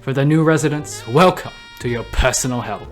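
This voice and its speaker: A smug demon radio host acted by a man, theatrical and mock-polite, with a middle-pitched voice. The delivery is a scripted comedic broadcast, suave and sardonic, with music underneath. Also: brisk, lightly acted. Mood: smug, mock-welcoming.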